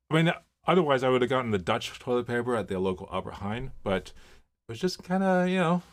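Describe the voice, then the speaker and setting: A man in his fifties, originally from Miami, hosting a casual livestream and chatting through viewer comments with rambling stories and many fillers. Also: mid-range voice. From Miami, Florida. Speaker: livestream host. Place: home office.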